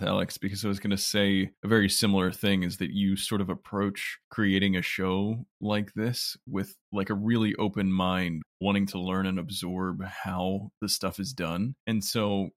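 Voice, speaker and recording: Low, creaky-voiced man chatting. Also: steady tone, moderate pace, few pauses, dry recording, clean studio sound. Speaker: podcast producer and sound designer. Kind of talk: casual interview talk.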